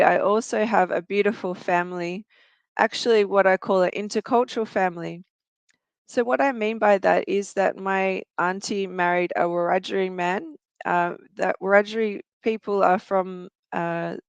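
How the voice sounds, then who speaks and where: A woman's voice: fairly low pitch, clean tone, no echo. A woman in her forties with an Australian accent, telling her personal story in an earnest, reflective way with occasional fillers. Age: 40s. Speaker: guest, youth worker and researcher. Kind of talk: personal storytelling in a talk show.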